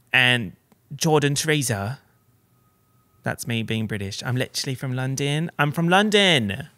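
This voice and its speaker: A man's voice: medium pitch, creaky, sharp tone, no room sound. A young man hosting a casual solo podcast, telling stories in a campy, dramatic, slangy way. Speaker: podcast host.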